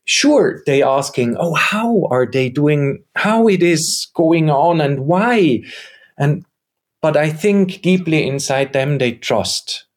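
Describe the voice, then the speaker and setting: This man speaks English as a second language, his first being German, and talks very slowly. His voice sits a bit high for a man. He thinks aloud in a searching, reflective way, explaining his theater work in an interview. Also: expressive. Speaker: theater maker and game co-creator. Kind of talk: conversational interview answer.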